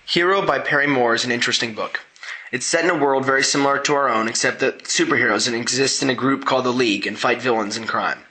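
Fast, bright-voiced teenage boy. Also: mid-range voice, a little hiss or hum, rough recording. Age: teens. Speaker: teen book reviewer. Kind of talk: scripted book review.

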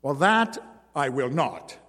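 A man's voice: mid-range voice, very animated, takes it slowly, fairly bright tone, exaggerated voice. A man reading a story aloud, voicing a boastful, contemptuous circus bareback rider who bellows his insults. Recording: long hall reverb.

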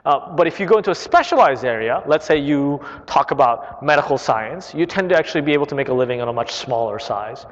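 A man's voice: fairly high pitch, lively intonation, fast, hardly any pauses. A man in his thirties, from Korea and not a native English speaker, answering audience questions in a casual, chatty way full of "right?" and "uh". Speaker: internet company chief executive. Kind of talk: live Q&A talk. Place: large public library hall. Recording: dull hall recording with long reverb.

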